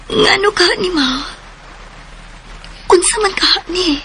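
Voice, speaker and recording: A woman's voice: extremely high pitch, slow, sharp tone, a little hiss or hum, full character voice. An actress voicing a troubled young married woman haunted by a child's cry, pleading and tearful in a radio drama.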